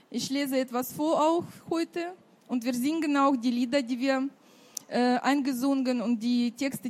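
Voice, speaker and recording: Eine Frau in ihren Fünfzigern aus der Ukraine mit russischem Akzent, Muttersprache Russisch, die zögernd und mit vielen Füllwörtern ihr Kinderbuch auf einer Buchmesse vorstellt. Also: female voice, high voice. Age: 50s. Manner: halting, many fillers, non-native grammar. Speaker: children's author. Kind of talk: book fair presentation.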